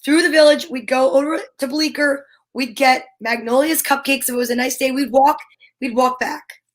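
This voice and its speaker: A woman's voice: high voice, fairly even intonation, moderate pace. A middle-aged American woman chatting casually as a talk show co-host, blunt and emphatic in her opinions. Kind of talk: casual chat.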